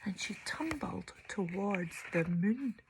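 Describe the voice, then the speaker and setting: A woman storyteller reading to children theatrically, drawing out words and dropping into hushed near-whispers. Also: medium pitch, lively intonation, slow pace, background noise.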